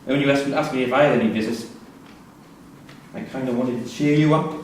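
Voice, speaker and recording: Distant mic in echoing hall, man acting a guarded bitter convict, mid-pitched, colloquial, needling, stage drama. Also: fast, lightly acted. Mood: needling, defensive. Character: guarded, bitter male prisoner with a killing behind him. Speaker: stage actor in a staged reading. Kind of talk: live theatre acting. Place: theatre performance space in Salford. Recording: echoey hall, distant mic, faint noise.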